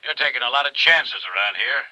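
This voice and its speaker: An actor playing a cagey, guarded caller in a 1950s radio drama, heard through a telephone filter. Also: male voice, mid-range voice, animated, fast talker, sharp tone, dry recording, poor audio. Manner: curt, guarded. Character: cagey, curt male caller with a warning.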